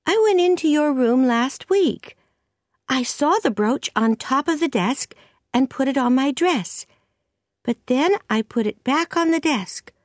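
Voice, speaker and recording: Young girl character, acted by a woman, high voice, eager, earnest, pleading, storybook, lively swoops. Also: measured pace, dry recording, studio quality. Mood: earnest denial.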